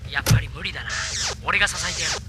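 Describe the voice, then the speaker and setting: An actor playing a level-headed young resistance pilot, brisk and commanding, in a Japanese audio drama. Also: male voice, a little high, expressive, background noise, lightly acted. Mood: insistent.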